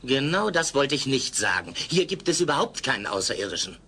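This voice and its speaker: An actor playing a grumpy, contrary husband, blunt and sarcastic, in a sitcom audio play. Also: male voice, mid-range voice, big pitch swings, brisk, bright, forceful tone, slight background noise. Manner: irritable, blunt.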